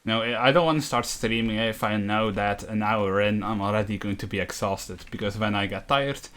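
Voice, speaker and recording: A man's voice: fairly low pitch, fairly even intonation, normal speed, a bit bright. A man chatting casually as he livestreams a game, with a dry, wry manner. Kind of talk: casual chat while gaming. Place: room in a shared house. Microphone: voice chat on push to talk. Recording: clear background noise, clicks.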